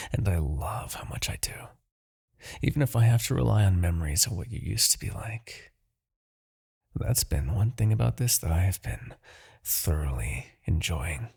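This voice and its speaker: A man playing a smug, menacing demon king, obsessed with his rival, in a theatrical, deliberate fantasy roleplay monologue with a husky, gravelly voice. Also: on the low side, full character voice. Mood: intimate, obsessive. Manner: theatrical, gloating, deliberate, grandiose.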